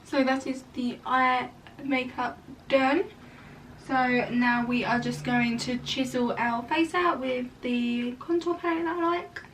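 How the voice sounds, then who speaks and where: A young woman doing a casual makeup tutorial at home, chatty and full of rambling asides, her voice a bit croaky today. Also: high-pitched, fairly even intonation, takes it slowly, fairly bright tone, far from the mic, slight background noise, poor audio. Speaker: makeup vlogger. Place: room at home.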